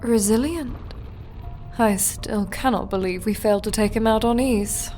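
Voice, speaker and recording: A woman voicing a powerful dark elf witch in a fantasy audiobook, silky, deliberate and deferential. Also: on the high side, faint music behind, lightly acted. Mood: sly, dutiful.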